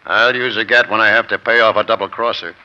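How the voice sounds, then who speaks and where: An actor playing a surly safecracker with a gun, speaking blunt gangster slang in a 1940s radio crime drama. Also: male voice, fairly low pitch, fairly even intonation, fast, pushed and bright. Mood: threatening. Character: surly male safecracker turned gunman. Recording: muffled, noisy old radio recording.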